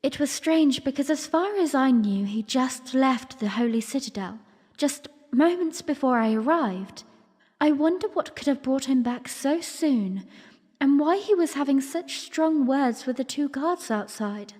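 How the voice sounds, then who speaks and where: A woman playing a young, refined princess, narrating her memories earnestly and formally in a breathy voice for an audiodrama. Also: high voice, expressive, a little slow, a little mellow. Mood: curious, narrating. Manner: storytelling, formal. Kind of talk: first-person dramatic narration. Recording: long reverberant hall echo.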